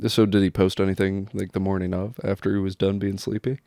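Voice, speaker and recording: A man co-hosting a comedy podcast, speaking in a deadpan, ironic way and asking lots of questions. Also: deep voice, fairly quick, no echo, clean studio sound. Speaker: podcast co-host. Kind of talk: casual comedy podcast chat.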